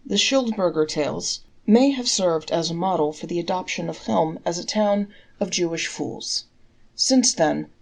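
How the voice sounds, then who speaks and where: A woman reading folktales aloud in a measured, articulate storybook narration. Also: fairly low pitch, creaky.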